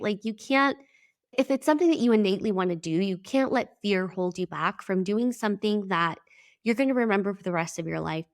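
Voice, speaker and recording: A young adult woman from El Salvador, whose first language is Spanish, hosting her podcast in a chatty, emphatic monologue with frequent "like" and casual swearing. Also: mid-range voice. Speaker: podcast host.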